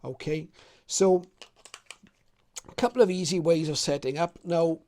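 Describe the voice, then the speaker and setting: Male presenter, slightly high voice, conversational step-by-step explainer for a hobby channel. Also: unhurried, mellow. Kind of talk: informal explainer to viewers.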